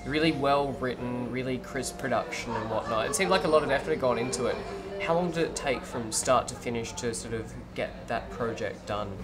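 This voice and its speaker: A man's voice: medium pitch, a little fast. A young man hosting a music TV interview in a pub, upbeat and full of "sort of" fillers. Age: young adult. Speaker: music TV host. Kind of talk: casual interview. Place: pub room at a gig. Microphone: camera framing, seated at table. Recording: loud live band in background.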